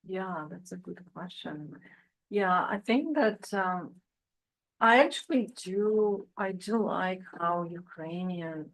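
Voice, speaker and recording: A woman translator on a panel, answering a question thoughtfully with soft fillers and restarts. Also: lowish voice, poor audio.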